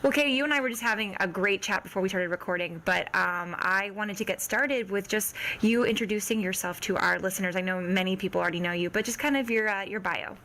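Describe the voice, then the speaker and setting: Female podcast interviewer, mid-pitched, chatty and upbeat, slight background noise. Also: fast talker, hardly any pauses, pushed and bright, poor audio. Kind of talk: podcast introduction and friendly interview chat.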